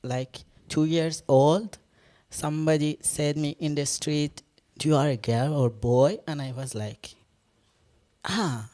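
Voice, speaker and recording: A performance artist whose first language is Farsi, speaking English on a live panel, often pausing and searching for words. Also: mid-range voice, dark tone. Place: stage talk before an audience, no microphones.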